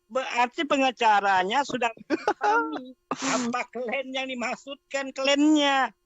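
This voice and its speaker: A man's voice: very high voice, lively intonation, measured pace, a bit bright. A man talking casually as a participant in an online debate. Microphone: online group call.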